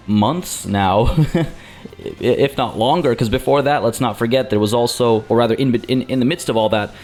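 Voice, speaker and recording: A man's voice: on the low side, a little mellow. A man hosting a let's play, chatting in a rambling way with many fillers and restarts, slightly under the weather. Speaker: gaming channel host.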